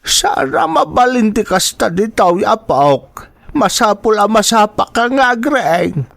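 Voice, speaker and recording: A man's voice: sits high, sing-song, unhurried, gravelly, fairly bright tone, dry recording, heavily acted. A man playing a strict old grandfather in a radio drama, speaking with an Ilocano accent and an admonishing, instructive manner. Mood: firm, instructing. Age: older. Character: strict, hardworking old grandfather in a rural household. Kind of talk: Filipino radio drama acting.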